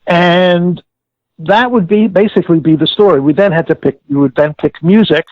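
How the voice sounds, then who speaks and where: An older man being interviewed, explaining and reminiscing in a deliberate, halting way with formal wording and self-corrections, in a gravelly, husky voice. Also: high voice. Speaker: interviewee, former audio producer-director. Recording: tinny phone-like line with room echo.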